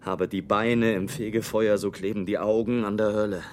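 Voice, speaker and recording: An actor playing a sardonic, restless imprisoned adventurer in an intense, literary radio monologue. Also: male voice, fairly low pitch, steady tone, moderate pace, close to an everyday voice. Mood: brooding, sardonic. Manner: literary, intense, often dropping to a breathy whisper. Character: brooding, sardonic, restless imprisoned male adventurer telling his plight. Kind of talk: dramatic monologue in a German radio play. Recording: clear background noise and ambience.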